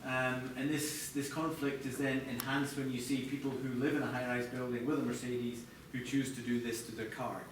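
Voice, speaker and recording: A man's voice: mid-range voice, level tone, normal speed, few pauses, recorded from a distance. A middle-aged Scottish man giving a live presentation as a designer, in a conversational, hesitant way with restarts. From Scotland. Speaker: chief TV product designer presenting. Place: echoey presentation room with audience. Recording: echoey room from afar, clear background noise.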